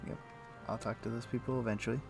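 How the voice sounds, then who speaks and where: A man streaming a game, chatting casually and thinking aloud in a halting, muttering way as he plays. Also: medium pitch, level tone, gravelly, over music. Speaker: game livestreamer. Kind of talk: casual livestream chat with gameplay commentary.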